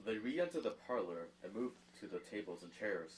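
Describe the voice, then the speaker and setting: A man's voice: on the low side, very animated, fairly quick, slightly rough, rough recording. A man narrating an audio drama, reading scripted stage directions.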